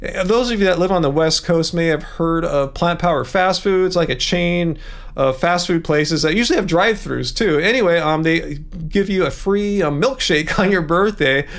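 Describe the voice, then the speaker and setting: A man hosting a casual livestream, rambling chattily from one topic to the next as he reads out viewer messages. His voice sits somewhat high for a man and carries a steady gravelly fry. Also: moderate pace. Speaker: livestream host.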